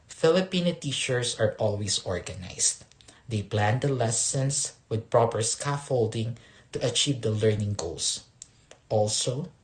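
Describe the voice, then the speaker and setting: A man who works as a school administrator delivers a scripted presentation in a formal, measured manner, point by point. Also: fairly low pitch.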